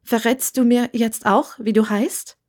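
A woman's voice: on the high side, steady tone, measured pace, a little mellow. A woman voicing a friendly, mischievous witch in an audiobook, blunt and self-assured in a matter-of-fact, teasing way. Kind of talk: audiobook character dialogue.

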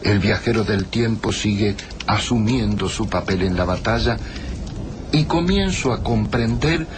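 An actor playing a grave chronicler, narrating an apocalyptic radio drama in a scripted, dramatic style with an Argentine accent. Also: male voice, fairly low pitch, a little slow, natural voice. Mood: reflective. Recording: narration over loud noisy soundscape.

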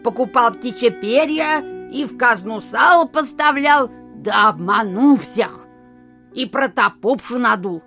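An actress playing a simple-minded, suspicious old provincial landowner in an audio play, rambling in a folksy, gossipy way. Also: female voice, a little high, lively intonation, unhurried, pushed and bright, soft background music, full character voice. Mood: suspicious grumbling. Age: older.

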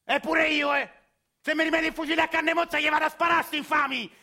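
A crotchety ninety-year-old grandpa with a thick Roman accent. His voice sits very high and cracks with gravel, bright and sharp, and he fires off his foul-mouthed rants at a fast clip in this radio play. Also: little pitch movement, full character voice. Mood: ranting. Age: 90s. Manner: cursing, shouting rants. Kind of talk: radio theatre acting.

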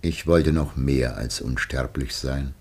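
Very deep, dying old patriarch. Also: male voice, a little mellow, husky, full character voice. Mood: solemn lament. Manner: solemn, slow, declamatory. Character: Adam, dying aged father, remorseful and solemn. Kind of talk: classic German radio play, declamatory verse.

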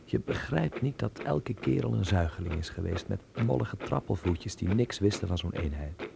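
An actor playing a young Dutch amateur filmmaker in a radio drama, wry and observant, with a reflective, measured delivery and a gravelly voice. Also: male voice, low voice, expressive, full character voice. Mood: musing, wry. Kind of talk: radio drama acting and letter narration. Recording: noisy old radio play recording.

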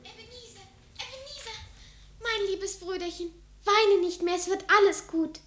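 A woman's voice: very high-pitched, moderate pace, a bit bright, a little hiss or hum. A woman playing a tender, joyful little sister, a young girl, in an echoey 1960s German radio drama. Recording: echoey, distant memory sound.

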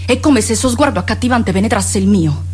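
A woman's voice: medium pitch, a little fast, breathy edge. An actress playing a defiant young Sicilian woman in a theatrical monologue, speaking Sicilian-accented Italian with intense, confiding emphasis and repetition. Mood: troubled. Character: defiant young Sicilian woman, passionate, rebelling against honour customs.

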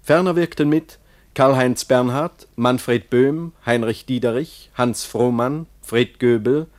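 Slow, formal male radio announcer. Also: mid-range voice. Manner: deliberate, precise enunciation. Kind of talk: formal scripted cast credits.

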